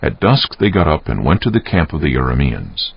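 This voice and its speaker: Male narrator, dramatic scripture reading. Extremely low, animated delivery, slightly dull recording. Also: fairly quick, vocal fry, husky. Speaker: narrator of a dramatized Bible audiobook. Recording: dull, muffled audio.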